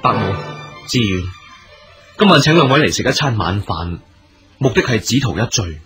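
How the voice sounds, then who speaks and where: A man's voice: on the low side, sing-song, moderate pace, fairly bright tone, soft background music. A man acting a courteous, earnest military commander in a period radio drama, speaking formally in Hong Kong Cantonese. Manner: formal, stately, gracious.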